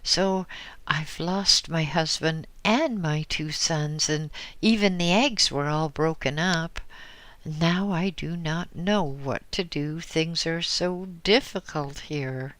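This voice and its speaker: A man voicing a grieving old widow who is frightened and desperate, with tearful, pleading outbursts that break high. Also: takes it slowly, a bit bright. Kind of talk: audiobook reading.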